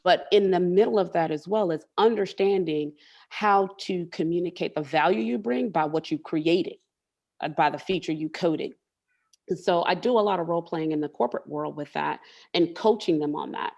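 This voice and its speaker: Low-voiced woman explaining earnestly. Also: normal speed, vocal fry, a little mellow, highs cut off. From Southern United States. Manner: earnest, explanatory, repeats words while thinking. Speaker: interview guest, tech leader and coach. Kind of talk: candid interview conversation.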